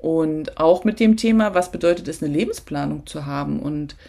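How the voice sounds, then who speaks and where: A woman's voice: low voice, moderate pace, gravelly, mellow. A woman hosting a solo podcast, talking casually and reflectively straight to the listener, with frequent filler sounds and self-corrections. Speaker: podcast host.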